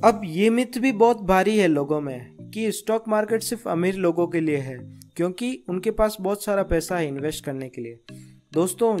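A man's voice: high-pitched, darkish tone. A man narrating a scripted beginner tutorial in an instructive way, addressing listeners as friends. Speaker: host of a stock market tutorial channel. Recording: voice-over with music behind. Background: background music.

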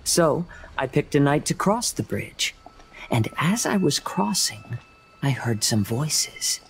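A young man voicing a gentle, composed wandering swordsman in an anime game, soft-spoken and poetic, like a storyteller. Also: fairly high pitch, sing-song, slow, creaky, a little hiss or hum, exaggerated voice, rough recording. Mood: calm storytelling.

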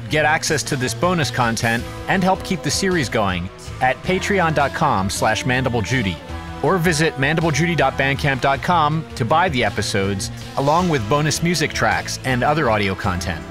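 A man reading scripted credits and announcements as the announcer of an audio drama series. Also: medium pitch. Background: music.

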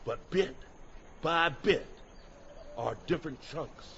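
An actor playing a fervent escaped slave who addresses a crowd in deliberate, emphatic oratory in an audio drama. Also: male voice, fairly high pitch, vocal fry, a bit bright, heavily acted. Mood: fervent, solemn. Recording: muffled, slightly noisy audio drama recording.

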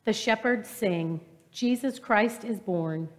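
A woman leading prayers in worship, reading slowly and gently. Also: mid-range voice. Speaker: worship leader. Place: church sanctuary. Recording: long church reverb from afar.

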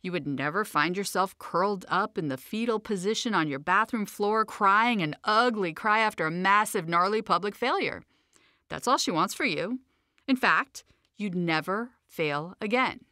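A woman's voice: medium pitch, expressive, normal speed, pushed and bright, no room sound, studio quality. A woman reading her own self-help audiobook in a conversational, emphatic, playfully sassy way. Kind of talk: scripted audiobook narration.

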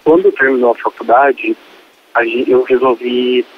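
A man's voice: medium pitch, fairly even intonation, measured pace, slight background noise. A man of twenty-nine, phoning in to a radio show and talking casually. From Ribeirão Preto, Brazil. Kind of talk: casual phone-in storytelling. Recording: muffled, husky phone line, faint music.

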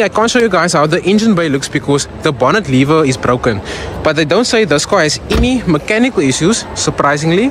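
A young South African man whose first language is Afrikaans, casually walking viewers through used cars on an outdoor lot and talking to them directly. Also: a little high. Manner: chatty, addresses viewers as guys. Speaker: car vlogger. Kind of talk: casual car walkaround. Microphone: phone camera. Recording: outdoor noise behind voice.

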